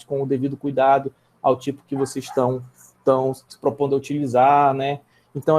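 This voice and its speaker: A man's voice: fairly high pitch, dark tone. A Brazilian man teaching a programming class online, explaining step by step and checking in with his students often. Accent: Brazilian Portuguese. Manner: repeats and restarts words while typing. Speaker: programming instructor. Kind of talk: live online coding lesson.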